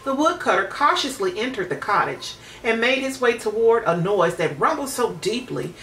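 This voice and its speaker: A woman's voice: a little high, big pitch swings, normal speed, fairly bright tone. A woman narrating a children's storybook expressively. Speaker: storybook reader. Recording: roomy, distant mic with faint noise.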